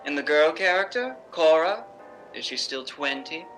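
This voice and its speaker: An imperious middle-aged stage star of a 1950s radio play, acted by a man. The voice is sharp, forceful and fairly high for a male. Every phrase is sardonic and theatrically stretched for bitter effect. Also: lively intonation, moderate pace, faint music behind, low-quality recording. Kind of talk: 1950s radio drama acting.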